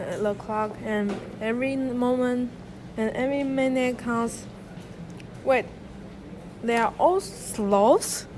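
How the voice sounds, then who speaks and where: Impatient young rabbit officer; slightly high, deliberate pace, noisy take. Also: female voice. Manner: hurried, urgent, impatient. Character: eager impatient young female rabbit cop. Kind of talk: student radio drama.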